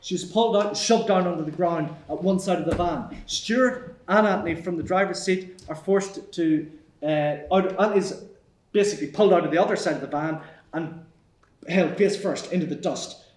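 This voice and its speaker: A man's voice: high voice, moderate pace. A man reading stage directions as scripted narration at a screenplay table read. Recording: echoey room.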